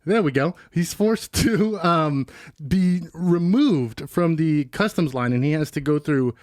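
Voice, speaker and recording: A man chatting through a film review with lots of ums and wry asides. His voice sits a little high. It is recorded close and dry, with no room sound. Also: expressive, unhurried, a little mellow, no echo. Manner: rambling, jokey, filler-heavy. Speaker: podcast co-host. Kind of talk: casual movie review chat.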